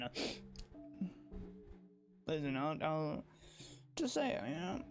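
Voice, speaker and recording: A livestreamer talks over background music at a middling pitch. The delivery is casual and opinionated, circling back on phrases and restarting thoughts in a loose, rambling way. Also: a little mellow.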